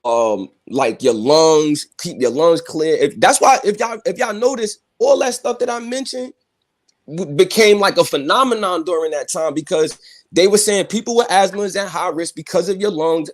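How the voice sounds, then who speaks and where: A man's voice: high-pitched, moderate pace. A young man on a remote call, insistently explaining himself in casual discussion, often repeating and restarting. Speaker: guest on a panel stream.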